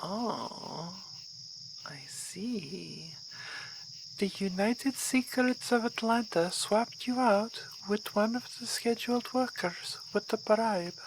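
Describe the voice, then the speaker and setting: Slow-talking, poised vampire baroness played by a woman. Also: mid-range voice. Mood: teasing. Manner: formal, measured, drawn-out theatrical phrasing. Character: poised, amused vampire baroness, coolly teasing and courtly. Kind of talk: ASMR roleplay acting. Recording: outdoor ambience with clear insect noise.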